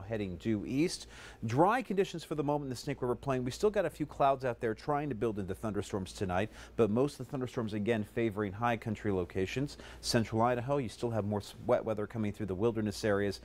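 A man in his thirties giving a live TV weather forecast in a studio, brisk and conversational, running through numbers steadily on a lapel mic. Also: lowish voice, darkish tone, a little hiss or hum. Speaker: TV meteorologist. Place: TV studio, in front of a weather map.

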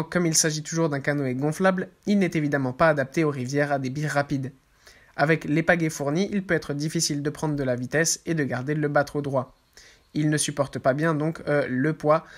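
Guy doing a product countdown voiceover, slightly higher voice, reads it pretty steady w/ a few ums. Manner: steady reading with occasional hesitations. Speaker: product review host. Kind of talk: read product review voice-over. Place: voice-over, not the pictured scenes.

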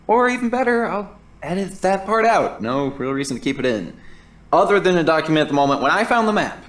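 A man's voice: sits high, sing-song, fairly quick, a bit bright. An actor playing a flustered, nerdy young male editor in an audio drama. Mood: musing, eager. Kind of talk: audio drama, spoken recorded monologue.

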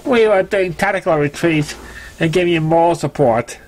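A man's voice: a little high, normal speed, a little mellow, a little hiss or hum, pitched above the performer's own voice, close to an everyday voice. A man voicing a cocky, careless young female pegasus pony in a storybook reading, brash and boastful. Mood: defensive, boastful.

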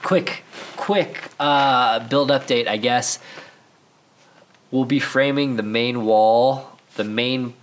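A man's voice: mid-range voice, steady tone, takes it slowly, slight background noise. A man in his thirties, a bit nasal and stuffed up, chatting candidly to camera as a channel host, with many restarts, inside a half-built house. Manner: rambling, candid, frequent restarts. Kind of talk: casual vlog talk to camera. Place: half-built house under construction, partly open.

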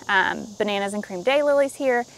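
A woman's voice: mid-range voice, fairly quick, rough recording. A woman in her thirties chats her way around her backyard garden on a casual video tour, upbeat and a little self-deprecating, with plenty of filler words. She is recorded outdoors on a handheld camera whose small mic sits close to her. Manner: chatty, upbeat, self-deprecating, lots of ums. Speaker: gardening vlogger. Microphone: handheld camera with small mic held close.

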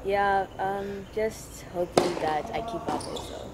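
A woman's voice: medium pitch, steady tone, takes it slowly, heavy background noise. A teenage girl from Zimbabwe giving thoughtful, um-laden answers in an outdoor court-side interview. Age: teens. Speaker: junior tennis player, guest.

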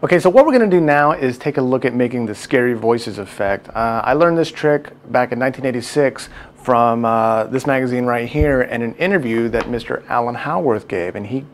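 A man in his thirties giving an informal software tutorial, casually talking through each step. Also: medium pitch, slight background noise. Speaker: sound design tutorial presenter.

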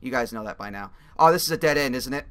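Young guy on a headset doing game commentary, bit raspy, bright voice, gets loud and swears when he messes up. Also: medium pitch, fast. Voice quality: slightly raspy. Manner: excitable, swears and yells when he fails. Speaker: gaming video creator. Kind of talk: casual let's-play commentary. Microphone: headset mic.

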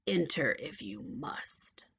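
A woman voicing a cold, menacing storybook witch in a slow, hushed, breathy, creaky voice. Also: whispered. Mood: cold, dismissive. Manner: slow, drawn-out, dismissive. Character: cold, menacing witch counting her gold.

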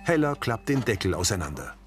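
A man's voice: lowish voice, big pitch swings, a little fast, over music. A man reading scripted audio description, narrating the action of a scene in short sentences.

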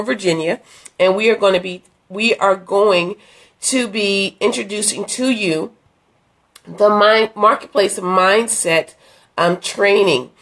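A middle-aged woman, hoarse from a cold, chatting earnestly into a phone at arm's length in a dim room, inviting viewers to an event. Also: mid-range voice, fairly bright tone. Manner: chatty, earnest, with many fillers and restarts. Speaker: ministry leader promoting an event. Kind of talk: casual live-stream invitation. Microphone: phone held at arm's length.

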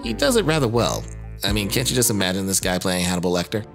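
A man's voice: low voice, big pitch swings, fast. A man narrating a scripted video commentary as host, with casual, jokey asides and a wry manner. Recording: music under the voice. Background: background music.

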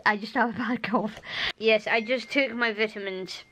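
Young woman, Scottish accent, hoarse strained throat, rambling chatty vlog, laughs a lot, filmed at home at arm's length. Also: on the high side, steady tone, normal speed, sharp tone, slight background noise, low-quality recording. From Scotland. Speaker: vlogger. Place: living room at home. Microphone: camera at arm's length.

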